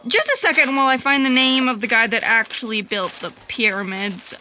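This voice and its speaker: Woman as a high, bright fact checker. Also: fairly quick, a little hiss or hum. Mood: earnest, chatty. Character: chatty, earnest female fact checker. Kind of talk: comic scripted audio theatre narration.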